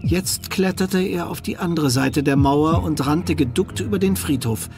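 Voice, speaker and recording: A man narrates a radio play in a scripted storytelling style. His voice is a little high with a gravelly creak, and music plays behind him. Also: measured pace.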